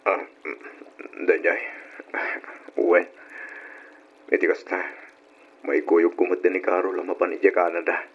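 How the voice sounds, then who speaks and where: Ardent young lover, Ilocano accent, radio drama, regretful, raspy, dull muffled recording. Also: male voice, low voice, flat delivery, a little slow, pauses often. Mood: sorrowful. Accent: Filipino, Ilocano.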